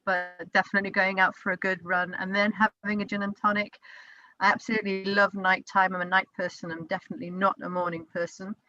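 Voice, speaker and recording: A woman's voice: mid-range voice, pushed and bright, no room sound, muffled recording. A woman in her forties with a British accent, giving a chatty informal talk to an online networking group, full of fillers and restarts. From Israel. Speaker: company co-founder presenting to a networking group. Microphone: video call.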